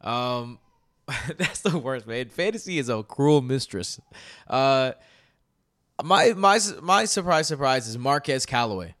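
Young male co-host, animated and profane, dry close sound. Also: medium pitch, slow pace, no room sound. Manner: animated, swearing, laughs often. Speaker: podcast co-host. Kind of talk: casual fantasy sports chat.

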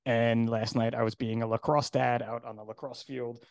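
A middle-aged man from New Jersey hosting a podcast in casual chat, hoarse today and rambling with fillers. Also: medium pitch, little pitch movement, moderate pace, a little mellow, dry recording, studio quality. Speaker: podcast host.

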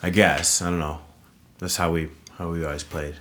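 Male podcast guest, deep voice, terse and hesitant delivery, faint background hiss. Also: level tone, normal speed, fairly bright tone. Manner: terse, low-key, hesitant. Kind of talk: casual chat.

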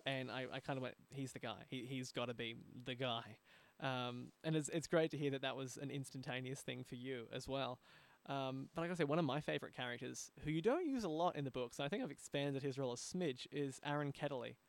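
A man hosting a conversational interview, speaking in a halting way and repeating words as he goes. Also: medium pitch. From Australia. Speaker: podcast host, audio drama producer.

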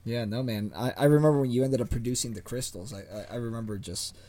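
A man's voice: medium pitch, fast, dark tone. A man hosting a casual podcast, chatting informally and agreeing enthusiastically. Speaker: podcast host. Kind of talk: casual chat.

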